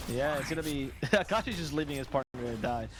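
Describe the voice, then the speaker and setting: Excitable male co-caster, noisy feed. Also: medium pitch, brisk. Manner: animated, laughing, excitable outbursts. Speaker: esports co-caster. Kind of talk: live game commentary.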